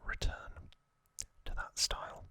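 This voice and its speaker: A man whispers his way through a relaxed ASMR recap, slow and unhurried. His whisper is crisp and bright, and he hesitates often with soft ums between thoughts, giving casual fan opinions as he goes. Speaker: ASMR host.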